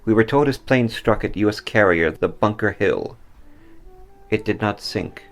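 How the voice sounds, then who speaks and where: A man reading a war story, playing a stern, fatalistic Japanese army captain who commands gravely. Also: on the low side, a little slow, soft background music. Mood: grim pride. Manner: grave, barking orders.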